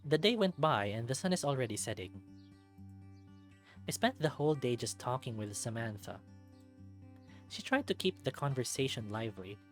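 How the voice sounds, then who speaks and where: A woman reading a visual novel aloud as a let's-play narrator, with casual asides. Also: rumbling low. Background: music.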